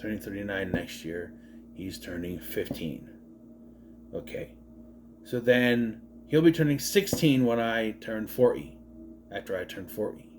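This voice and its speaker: Man, late 30s, lively swooping pitch, rambling, swears, casual vlog review. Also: measured pace, slight background noise. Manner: rambling, swears freely, gets sidetracked. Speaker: movie reviewer.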